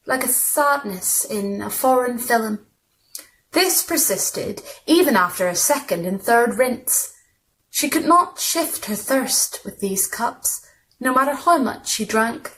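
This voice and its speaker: A woman's voice: fairly high pitch, slight background noise, poor audio. A woman reads literary short fiction aloud as a storyteller, in scripted narration. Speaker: story reader.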